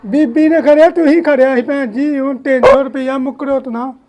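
A man's voice: extremely high pitch, steady tone, fairly quick, darkish tone. A man with a Punjabi accent making a prank phone call as a vegetable seller, pleading and insistent. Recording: phone call with faint noise.

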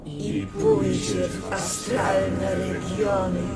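A man's voice: sits high, fairly even intonation, drawn-out words, full character voice. An actor playing an exalted visionary man in mourning, declaiming solemn poetry in a radio drama. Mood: solemn exaltation. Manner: incantatory, solemn. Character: exalted, visionary male mourner of a fallen hero. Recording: echoey, distant, loud music behind.

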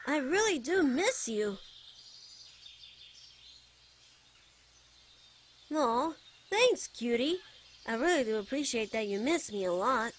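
A woman's voice: high voice, very animated, slight background noise. A woman voicing a playful, teasing, fondly protective young bard boy in a giggly ASMR character roleplay. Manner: teasing, giggly, drawn-out phrases. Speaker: voice actor.

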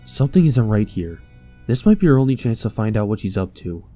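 A man's voice: lowish voice, big pitch swings, fairly quick, dark tone, faint music behind. A young man acting an earnest, anxious, determined investigator in a comic dub. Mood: suspicious. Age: young adult.

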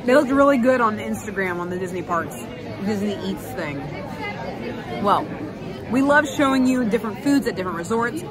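Chatty woman vlogging in a busy restaurant. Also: mid-range voice. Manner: chatty, lively, quick asides and laughs. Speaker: food vlogger host. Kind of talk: casual food review vlog. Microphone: phone or camera at arm's length. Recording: noisy crowded restaurant. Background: crowd chatter.

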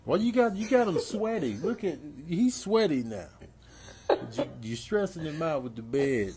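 A man's voice: fairly high pitch, sing-song, moderate pace, noisy background. A man voicing a stubborn, sleepless cat who pleads insistently in slangy, drawling talk for a comedy animal voice-over. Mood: insistent, pleading.

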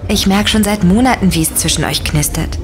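A woman's voice: mid-range voice, fairly quick, background noise, close to an everyday voice. An actress in an audio drama playing a wry, teasing teenage girl with a cheeky, casual way of talking. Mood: teasing, encouraging. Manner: cheeky, casual slangy talk. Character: wry, sarcastic teenage girl, teasing her best friend. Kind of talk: German audio drama acting, conversational.

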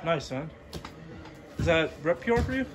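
A man's voice: fairly high pitch, sing-song, moderate pace. A man vlogging a workout in casual bro slang, swearing and hyping his friends up. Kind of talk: casual gym vlog chat. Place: outdoor yard and makeshift backyard gym. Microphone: handheld camera or phone. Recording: loud music over the voice. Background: loud music.

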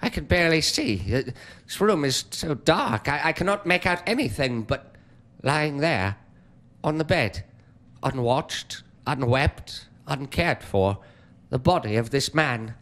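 A man's voice: on the high side, lively intonation, measured pace, fairly bright tone. A man playing a frightened old miser, pleading theatrically with a spirit in stammering, exclamatory speech. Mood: anxious, hushed. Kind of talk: dramatic stage-style monologue.

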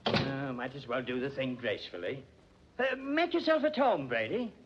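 An actor playing an evasive, blustering doctor in a fussy, sputtering 1940s radio drama style. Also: male voice, mid-range voice, big pitch swings, normal speed, noisy background, close to an everyday voice. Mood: sly, wheedling. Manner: fussy, sputtering, clipped phrases. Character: evasive, blustering doctor and lecturer with gambling debts. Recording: muffled vintage 1940s radio recording.

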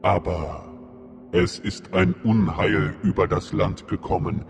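Guy doing a deep gravelly old sage ghost, slow and grave, music under it. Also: full character voice. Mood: solemn. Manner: solemn, measured, prophetic.

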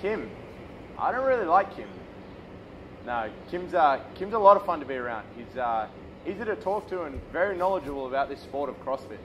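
A man's voice: a little high, very animated, brisk. Easygoing young man, noisy backdrop. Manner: easygoing, hesitant, teasing. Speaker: vlog co-host. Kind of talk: casual interview talk. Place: outdoors before a red roller door. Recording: noisy background.

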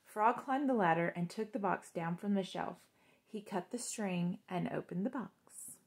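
A woman's voice: fairly low pitch, measured pace, creaky. A young adult woman reading a children's story aloud, with simple explanatory asides to young listeners. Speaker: storytime reader. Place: small living room, on a couch indoors.

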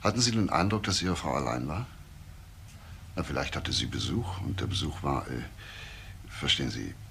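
An actor playing a probing, matter-of-fact police chief inspector in a radio crime drama, asking terse questions. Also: male voice, very deep voice, moderate pace, a bit bright, slight background noise. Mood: quiet, probing. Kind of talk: naturalistic German radio crime drama acting.

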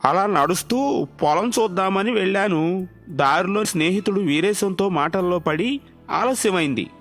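A man voicing an ailing, gentle old village father in a story, mild and resigned. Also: high-pitched, lively intonation, normal speed, full character voice. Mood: weary. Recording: music under the voice.

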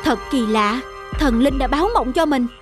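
A kind-hearted young villager acted by a woman talks in a high, earnest voice. It is fairy-tale cartoon acting, sincere and open, over prominent background music. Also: normal speed, lightly acted. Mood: earnest, pleading. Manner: earnest, pleading.

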